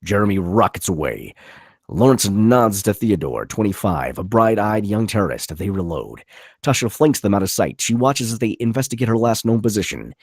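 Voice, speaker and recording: A man's voice: lowish voice, sing-song, normal speed, darkish tone, breathy edge, no echo, studio quality. A man narrating stage directions at a script table read, with vivid, punchy dramatic delivery. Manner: vivid, punchy reading of action.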